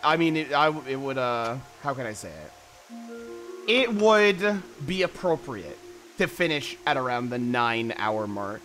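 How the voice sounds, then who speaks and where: A man's voice: mid-range voice, very animated, unhurried, a bit bright. A man chatting casually as a livestreamer, slangy and full of swearing. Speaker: game streamer. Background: game music.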